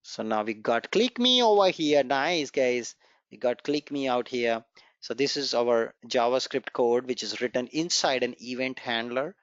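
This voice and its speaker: A man whose first language is Gujarati leads an online programming class. He encourages the students and goes over each step more than once. His voice sounds dull and dry, like a low-fi recording from a computer mic. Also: a little slow, dry recording. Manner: encouraging, repeats key points, walks through code step by step. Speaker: programming instructor. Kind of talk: live online coding class.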